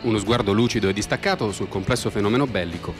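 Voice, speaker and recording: A man's voice: on the low side, fast talker. A man delivering scripted promotional narration as a radio drama announcer. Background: music.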